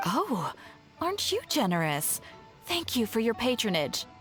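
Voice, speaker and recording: A woman's voice: on the high side, huge pitch swings, measured pace, fairly bright tone, faint music behind, heavily acted. A woman voicing a courteous, wry bookshop keeper in a video game. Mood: gracious.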